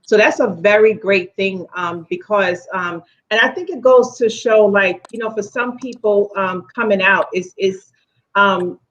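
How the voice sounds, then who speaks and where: A woman chatting quickly and casually on an online talk show, reading out viewer comments as a co-host. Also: medium pitch, dull audio. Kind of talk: casual chat.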